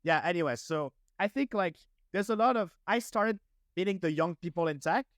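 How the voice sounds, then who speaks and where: A man in his twenties, French-born and French-accented, speaking as an interview guest in an upbeat, energetic way with a lot of "like". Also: high voice, moderate pace, dry recording, clean studio sound. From France. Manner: upbeat, energetic, heavy use of "like". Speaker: guest entrepreneur. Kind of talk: casual interview conversation.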